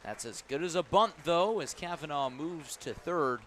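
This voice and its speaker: A man calling a baseball game live on radio as the play-by-play announcer, describing each pitch in detail. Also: normal speed. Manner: descriptive, excited calls rising on big plays. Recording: clear background noise at ballpark. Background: ballpark ambience.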